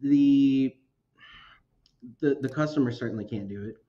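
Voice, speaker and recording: A man speaking as a guest in a casual chat, earnest and halting. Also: mid-range voice, dark tone, highs cut off. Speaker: guest, technology officer.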